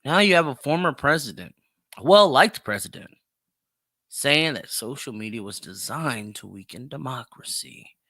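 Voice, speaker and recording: A man's voice: mid-range voice, lively intonation, moderate pace, bright, forceful tone, no echo, studio quality. A man giving opinionated solo commentary as a podcast host, emphatic and repeating phrases for stress.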